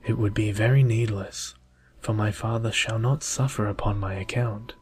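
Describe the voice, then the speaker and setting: A selfless young storybook heroine, read by a man in a low, level voice, gentle and formal in her words. She is brave and kind, and soft music plays underneath. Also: fairly even intonation, moderate pace, slightly rough. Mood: calm, resolute.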